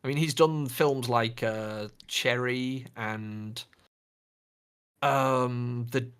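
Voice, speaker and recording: A man with a mid-pitched voice co-hosts a casual podcast chat. He talks in a hesitant, hedging way as he works through his opinions. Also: fairly even intonation, extremely slow, fairly bright tone, clean tone. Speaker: podcast co-host. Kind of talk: casual podcast chat about films and games.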